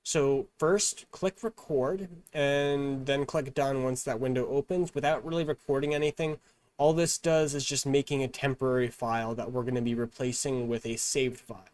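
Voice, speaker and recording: A man presenting a step-by-step software tutorial in an instructional, measured manner. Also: mid-range voice, fairly even intonation, no echo.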